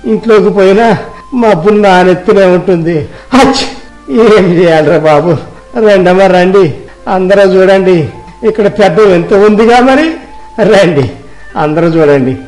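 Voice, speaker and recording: High-pitched, complaining village husband played by a man. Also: a little mellow, soft background music, rough recording. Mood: exasperated. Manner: complaining, exclamatory, drawn-out. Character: arrogant rich village husband, bewildered by a curse. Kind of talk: acted moral-story dialogue.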